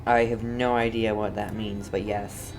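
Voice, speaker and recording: A woman's voice: very deep voice, little pitch movement, unhurried, a little mellow. A woman giving casual gameplay commentary as a streamer, rambling and questioning herself as she goes. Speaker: game streamer. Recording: clearly noisy background.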